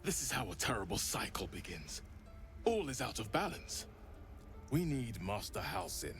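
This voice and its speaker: An actor playing a solemn, troubled druid in a fantasy video game, speaking earnestly and expressively. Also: male voice, lowish voice, big pitch swings, moderate pace, fairly bright tone, faint music behind.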